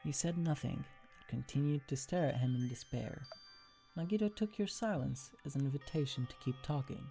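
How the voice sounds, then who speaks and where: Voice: medium pitch, moderate pace, vocal fry, mellow, soft background music. A narrator reading second-person fan fiction as scripted storytelling.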